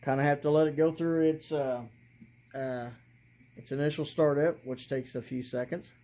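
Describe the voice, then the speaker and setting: Guy doing a laid-back hobby walkthrough, relaxed mellow voice a bit on the higher side, kinda halting as he works stuff out. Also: a little hiss or hum. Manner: halting, thinking aloud while working. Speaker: hobbyist presenter. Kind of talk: casual hands-on model train demonstration.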